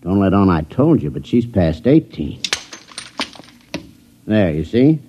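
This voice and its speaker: An actor playing a gruff, weary backwoods father with a folksy rural American drawl, in the style of old radio comedy. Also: low-pitched, very animated, slow, a little hiss or hum, exaggerated voice. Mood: wary, aggrieved. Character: gruff, weary backwoods father who likes his liquor. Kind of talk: 1940s-50s radio comedy acting. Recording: dull old radio recording.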